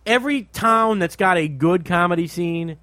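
A man's voice: sits high, normal speed, sharp tone, no echo. A man hosting a radio show, chatting casually with opinions in a blunt, repetitive way. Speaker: radio show host and comedy night host.

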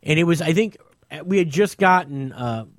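A man's voice: fairly high pitch, lively intonation, normal speed, dry recording, clean studio sound. A man hosting a podcast, talking sports casually in blunt, profane language. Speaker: podcast host.

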